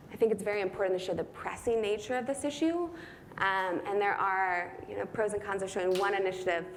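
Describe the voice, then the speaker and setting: A young woman with a mid-range voice answers questions from the floor, earnest and working through her thoughts as she goes, often checking in with a quick "right?". A faint hiss sits under her voice. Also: medium pitch. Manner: earnest, thinking aloud, frequent "right?" tags. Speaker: speaker answering audience questions. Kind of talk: Q&A answers at a talk. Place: conference room.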